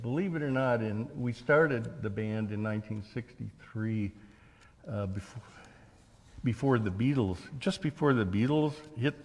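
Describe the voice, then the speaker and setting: An American man in his seventies talking as an interview guest, recounting his work and memories in a measured, halting way. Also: mid-range voice. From Oregon, United States. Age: 70s. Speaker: interview guest, author and journalist. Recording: long hall reverb.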